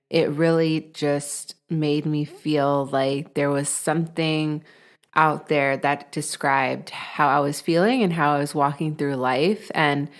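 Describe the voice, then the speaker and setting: A woman hosting a podcast interview, calm and measured as she asks questions and reflects. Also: deep voice. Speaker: podcast host.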